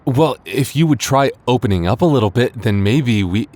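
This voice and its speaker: A man's voice: medium pitch, animated, normal speed, a little mellow, close to an everyday voice. A man playing a teasing, easily embarrassed childhood friend confessing love in a boyfriend roleplay, stammering and hesitant. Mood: teasing, flustered. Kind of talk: boyfriend roleplay audio drama.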